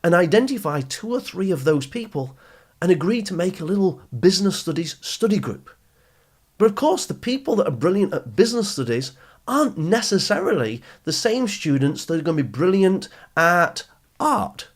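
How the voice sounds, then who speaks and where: A man's voice: sits high, big pitch swings, normal speed. A man hosting an educational podcast for teenagers, speaking straight to the listener in an encouraging, instructive, emphatic way. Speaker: podcast host and coach for teenagers. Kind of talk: motivational educational podcast monologue.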